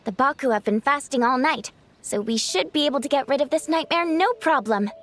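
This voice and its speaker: A woman playing a clinic worker who explains things calmly in a game. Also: high-pitched, a little fast. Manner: calm and explanatory. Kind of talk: video game voice acting.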